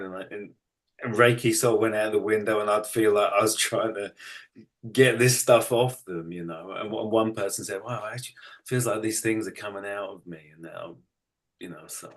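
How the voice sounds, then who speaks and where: A man explaining his work as a guest in casual conversation, tentative and often trailing off mid-thought. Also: fairly low pitch. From United Kingdom. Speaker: guest energy healing practitioner.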